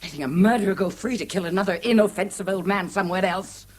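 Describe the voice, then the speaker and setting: An actress with an English accent playing a scornful, indignant wife in a 1970s British radio drama, biting, sarcastic and emphatic. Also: female voice, mid-range voice, fast, lightly acted. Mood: bitter, accusing. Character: scornful, indignant wife berating her husband.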